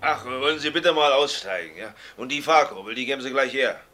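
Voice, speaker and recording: An actor playing a stern, impatient police officer in a radio play, giving clipped orders. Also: male voice, medium pitch, expressive, a little fast, bright, forceful tone.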